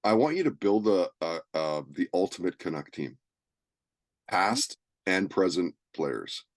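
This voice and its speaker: A man's voice: deep voice, animated, a little slow, no room sound, clean studio sound. A man hosting a podcast, chatting casually about sports in a laid-back, slangy, agreeable way. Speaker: podcast host.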